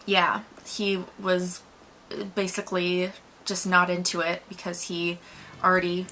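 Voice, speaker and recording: Bright-voiced woman chatting through a movie review. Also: lowish voice, monotone, a little slow, slight background noise, poor audio.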